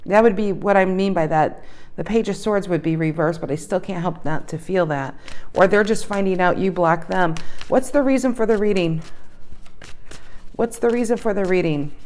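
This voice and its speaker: A woman's voice: fairly low pitch, moderate pace, a little mellow. A woman giving a casual tarot reading to her viewers, thinking aloud and repeating her questions as she pulls cards, her voice slightly worn. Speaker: tarot reader.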